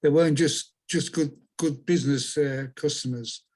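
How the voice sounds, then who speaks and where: An older man, born in Chile and raised in England, answering interview questions in a halting way, often restarting his phrases. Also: a little high, steady tone, a little mellow. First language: Spanish. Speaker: author and guest.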